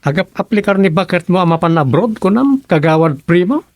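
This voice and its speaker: A man's voice: sits high, lively intonation, a little fast, darkish tone, faint music behind. An actor playing a folksy village councilman in an Ilocano radio comedy drama, with an Ilocano accent. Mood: conversational. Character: village councilman, folksy adult man chatting with townsfolk.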